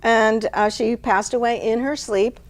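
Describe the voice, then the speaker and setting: An older woman speaking in a measured, deliberate way, as if sharing poetry with listeners. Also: on the high side, fairly even intonation. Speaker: poet and show host. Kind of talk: dramatic poetry reading and casual conversation. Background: plucked guitar music.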